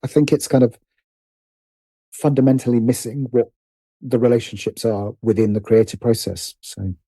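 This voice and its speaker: A man's voice: mid-range voice, level tone, moderate pace, dark tone, slightly rough, no room sound. A man speaking as a guest in a casual interview, hesitant, often repeating and rephrasing as he thinks aloud. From United Kingdom. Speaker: guest, writer and producer.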